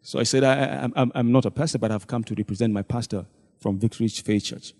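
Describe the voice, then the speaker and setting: A man speaks to a church from the front, retelling a meeting and slipping into the voices of the people in it. His voice is mellow and mid-pitched. A long reverb trails each phrase through the big hall. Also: steady tone, quick pace. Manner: storytelling, acting out quoted dialogue. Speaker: deacon addressing a church congregation.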